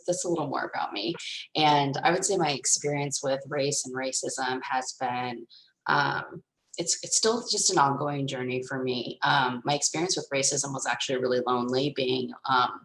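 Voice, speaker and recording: A woman in her thirties from the rural Midwest, speaking thoughtfully and haltingly as a panelist on a video call. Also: a bass voice, fairly bright tone, roomy sound, low-quality recording. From Nebraska, USA. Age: 30s. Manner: thoughtful, halting, many fillers. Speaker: panelist and moderator. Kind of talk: reflective panel discussion.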